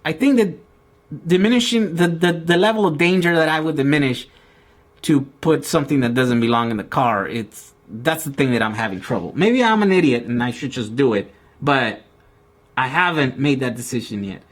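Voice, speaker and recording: A man's voice: mid-range voice, expressive, moderate pace. A Brazilian-born man, casually answering viewers' questions in a rambling way with many restarts. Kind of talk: casual live Q&A chat. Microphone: outdoor camera with mic.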